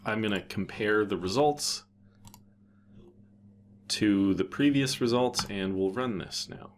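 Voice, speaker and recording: A man presenting a casual, unscripted software tutorial in an offhand way, thinking aloud as he goes. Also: on the low side, takes it slowly, a little hiss or hum.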